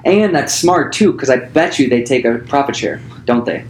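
A relaxed male podcast host who keeps asking curious follow-up questions and drops casual swear words along the way. He speaks at an everyday pitch, with a faint hum of ambience behind him. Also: moderate pace. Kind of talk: casual interview chat.

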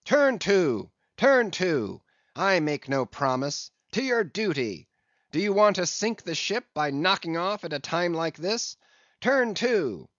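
A man's voice: sits high, big pitch swings, takes it slowly, fairly bright tone, no echo, voice pushed up, exaggerated voice. A man voicing a furious ship captain who roars commands, in a dull, dry recording. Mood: roaring, commanding. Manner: roaring, commanding.

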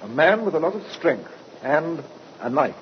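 An actor playing a brisk, businesslike police inspector in a 1950s radio drama. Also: male voice, fairly high pitch, big pitch swings. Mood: grim, businesslike. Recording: very noisy, muffled old radio recording.

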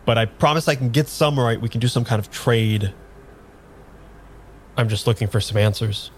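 A man playing a bewildered, weary amnesiac in an improvised noir radio drama, speaking in a measured, halting way. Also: mid-range voice, slight background noise, close to an everyday voice. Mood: hesitant, searching. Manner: measured, dramatic pauses when narrating, hesitant in dialogue.

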